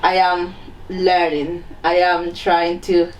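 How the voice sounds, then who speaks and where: A young woman from Ghana answers interview questions in a relaxed, chatty manner. She sounds a little distant from the mic, with faint noise behind her. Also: on the low side, slow pace. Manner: relaxed, conversational, with fillers like "obviously". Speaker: interview guest, nurse.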